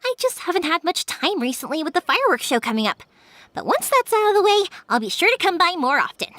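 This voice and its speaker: Peppy young fireworks girl, very high, lively. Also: fast, no room sound, heavily acted. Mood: eager. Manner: upbeat, eager, reassuring. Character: cheerful young woman fireworks maker. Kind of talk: game voice acting.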